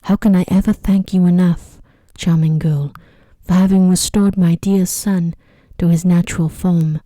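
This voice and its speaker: A woman plays a grand storybook queen, motherly and loving. The voice is soft, low and very mellow, with formal, heartfelt phrasing in ASMR style. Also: steady tone, measured pace, no echo, clean studio sound, natural voice. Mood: grateful.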